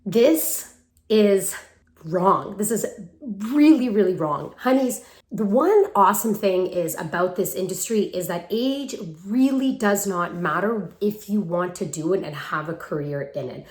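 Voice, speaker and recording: A woman's voice: mid-range voice, expressive, a little slow, a little mellow. A woman in her twenties giving casual talking-head advice to viewers in a chatty, emphatic, affectionate way, recorded on a handheld phone. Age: 20s. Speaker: vlogger and acting coach. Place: room at parents' home, brick wall. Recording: roomy echo from a hard-walled room.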